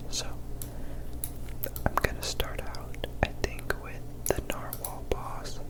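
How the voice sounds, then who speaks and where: A gaming host whispering breathy ASMR commentary over gameplay, gentle and unhurried, close to the microphone.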